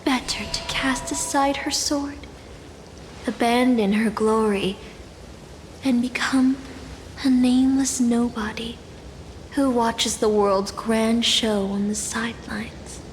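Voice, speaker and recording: Slow, reverberant solemn villainess. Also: female voice, on the high side. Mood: solemn, pitying. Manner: measured, theatrical, deliberate phrasing. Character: woman antagonist, solemn, set on stripping heroes of glory. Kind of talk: dramatic scripted game dialogue. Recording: long hall reverb, steady hiss.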